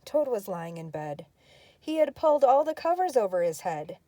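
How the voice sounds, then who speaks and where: Mellow older woman reading a storybook. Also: fairly high pitch, sing-song, moderate pace, dry recording. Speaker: grandmother reading to grandchildren. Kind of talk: children's storybook read-aloud.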